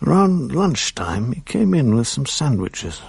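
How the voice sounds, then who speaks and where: An actor playing a bitter, sardonic middle-aged husband with a British accent in a radio drama, his voice husky. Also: mid-range voice, very animated, a little slow, darkish tone, a little hiss or hum. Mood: dry narration. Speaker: lead actor and narrator.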